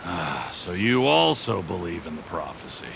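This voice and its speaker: A man's voice: low voice, big pitch swings, normal speed, background noise, full character voice. An actor playing an easygoing, dreamy, philosophical townsman in a video game, musing like a storyteller. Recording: music clearly under the voice.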